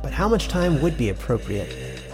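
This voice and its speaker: Fussy male archivist, fantasy audio drama, musing, measured delivery, faint music bed. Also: fairly high pitch, very animated. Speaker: in-world recorder reading credits. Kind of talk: scripted audio drama monologue.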